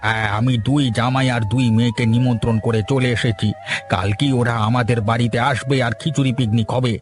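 Older cartoon village husband male actor: medium pitch, genial, homely, talkative, light music underneath. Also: steady tone, brisk, natural voice. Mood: genial, chatty. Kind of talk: cartoon story dialogue.

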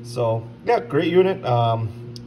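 A man giving a casual, unscripted product review in an informal, halting conversational way. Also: mid-range voice, animated, a little mellow. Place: indoors at a stone countertop. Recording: distant mic, clearly noisy background.

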